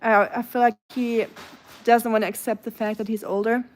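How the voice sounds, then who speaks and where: German-accented woman, higher voice, chatty. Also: flat delivery, normal speed. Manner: chatty and warm toward viewers, with frequent fillers and soft laughs. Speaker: livestream host. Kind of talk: casual chat, reading viewer comments aloud.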